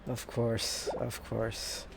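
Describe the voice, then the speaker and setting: Slow, creaky, offhand game commentator. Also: mid-range voice, completely flat delivery, heavy background noise. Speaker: game streamer. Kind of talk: casual playthrough commentary.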